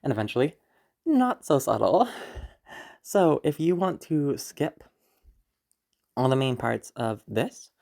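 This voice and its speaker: Low, creaky woman talking informally. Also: very animated, warm tone. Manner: informal, halting, reassuring. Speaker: audio roleplay creator. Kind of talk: casual spoken content warning.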